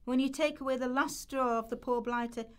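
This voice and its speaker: A woman's voice: high-pitched, steady tone, a little fast, clear, resonant tone. A woman reading scripted lines aloud in a rehearsal for a radio play.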